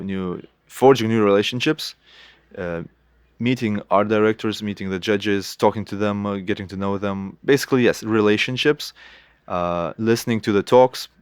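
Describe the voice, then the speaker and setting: A young man with a Lithuanian accent, a native Lithuanian speaker, answering interview questions in halting English and often restarting as he searches for words. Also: on the low side, clean tone, no echo. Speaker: guest artist.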